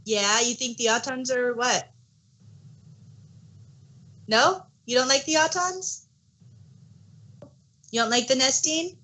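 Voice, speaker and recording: A woman with a fairly high voice talks in a casual, conversational style. She sounds like a podcast co-host in an informal discussion. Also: slow, pauses often, pushed and bright. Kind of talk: casual chat.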